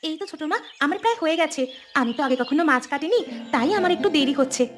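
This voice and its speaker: A woman voicing a dutiful, gentle young village daughter in a cartoon dub. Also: high-pitched, animated, racing, music in the background. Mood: apologetic. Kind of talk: Bengali cartoon dubbing.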